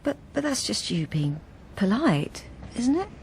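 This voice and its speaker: An actress playing a timid, self-effacing, lonely British wife in a radio drama, confiding in a plain, hesitant, apologetic way. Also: female voice, a little high, sing-song, unhurried, gravelly, a little mellow, slight background noise, heavily acted. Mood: flustered, shy. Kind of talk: radio drama with intimate first-person narration.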